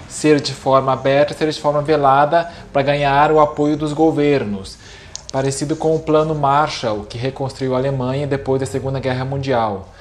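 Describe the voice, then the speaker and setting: A man with a Brazilian Portuguese accent giving a casual religious lecture, talking in a loose, conversational way with many fillers and tag questions. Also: mid-range voice.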